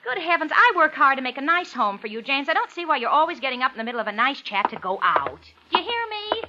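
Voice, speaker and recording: An actress playing a self-absorbed, nagging wife who chatters and scolds indignantly in 1940s radio drama style. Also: female voice, high voice, very animated, quick pace. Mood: nagging, complaining. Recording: old radio recording, muffled with hiss.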